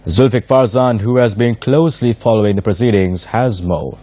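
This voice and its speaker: A man's voice: lowish voice, moderate pace, background noise. A man reading a scripted news introduction as an anchor.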